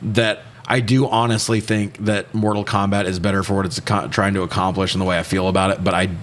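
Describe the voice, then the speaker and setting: A man's voice: low voice, level tone, quick pace, fairly bright tone. A man co-hosting a podcast, chatting casually about movies in a blunt, sweary way. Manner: profane, blunt. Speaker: podcast co-host. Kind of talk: casual movie review chat.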